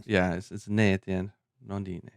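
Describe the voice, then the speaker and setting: A man co-hosting a podcast, talking casually in a halting way, restarting and hedging his words. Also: sits low, level tone, creaky, clean tone, no echo, studio quality. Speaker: podcast co-host. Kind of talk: casual chat.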